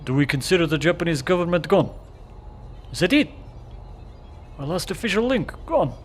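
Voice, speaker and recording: Troubled official, lightly high voice. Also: male voice, big pitch swings, normal speed. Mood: troubled, resigned. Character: resigned, troubled male official receiving bad news.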